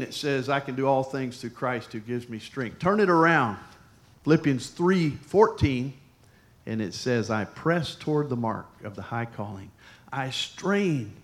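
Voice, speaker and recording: A man, a pastor, preaching a sermon in a conversational, storytelling way with lively asides to the congregation. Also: fairly high pitch.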